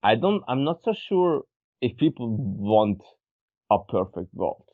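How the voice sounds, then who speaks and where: A man's voice: medium pitch, big pitch swings, a little slow. A man co-hosting a casual podcast, talking in a rambling way with many restarts as he searches for words. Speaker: podcast co-host. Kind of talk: casual philosophical chat. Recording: tinny phone-like sound.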